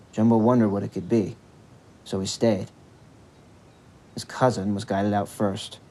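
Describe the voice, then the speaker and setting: A man's voice: fairly low pitch, dark tone, slight background noise. A man reading a scripted story as its narrator, with music behind his voice.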